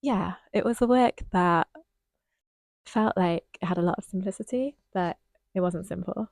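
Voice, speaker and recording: A woman co-hosting a casual dance review, talking thoughtfully with frequent pauses and a slightly croaky voice. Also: mid-range voice, darkish tone, dry recording, clean studio sound. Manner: thoughtful, hesitant with frequent ums.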